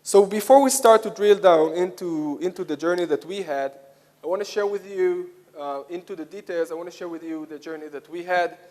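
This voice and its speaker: A man with an Israeli accent giving a conference presentation, speaking haltingly with frequent hesitations and repeated phrases. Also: high voice, darkish tone. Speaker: conference speaker, software product lead. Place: conference hall, speaking to an audience. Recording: echoey hall with long reverb.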